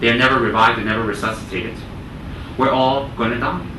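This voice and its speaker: A man giving a Buddhist teaching talk to a group, speaking deliberately and repeating phrases for emphasis. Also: mid-range voice, steady tone. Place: indoor room with listeners. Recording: roomy, distant mic, clear air-conditioning noise.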